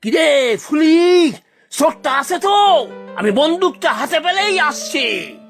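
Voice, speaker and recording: Woman doing a blustery village dad in a kids cartoon, high animated voice, loves food, very dramatic. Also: slow, faint music behind, exaggerated voice. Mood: excited. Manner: melodramatic, blustering. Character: blustering, food-loving comic village father. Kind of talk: Bengali children's cartoon voice acting.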